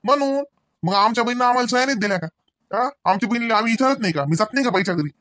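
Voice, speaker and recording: A man's voice: extremely high pitch, animated, very fast, a bit bright, no echo, clean studio sound. A man playing a cranky older village husband in a Marathi comedy cartoon, with a rural Maharashtrian accent, hectoring his wife with rhetorical questions. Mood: indignant, lecturing. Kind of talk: comic cartoon dialogue, domestic argument.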